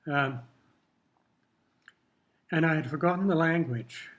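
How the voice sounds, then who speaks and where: An older man from Kenya whose first language was Swahili, speaking in a measured, reflective way while answering questions in an interview. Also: fairly high pitch, fairly bright tone. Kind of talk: casual interview talk.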